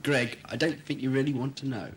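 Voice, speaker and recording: A man's voice: mid-range voice, fairly quick, slight background noise, natural voice. A man playing an eccentric, knowing time-traveller in a fan audio drama, wry and articulate, with a slightly hesitant, glib manner. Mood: grave disapproval. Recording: old cassette, sound bed behind.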